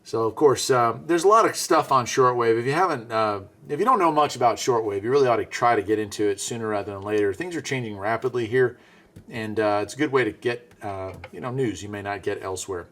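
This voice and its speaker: A man's voice: medium pitch, fairly quick, fairly bright tone. A man giving a casual, laid-back hands-on product demo, muttering informal asides as he tinkers. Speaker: radio reviewer and vlog host. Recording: faint background noise.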